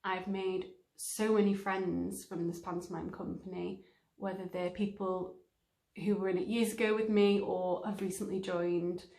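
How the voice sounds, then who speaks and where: A woman's voice: on the low side, flat delivery, a little slow, gravelly, mellow. A woman giving a personal testimonial as an amateur theatre member, recorded in an echoey room. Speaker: amateur pantomime company member. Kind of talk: testimonial to camera.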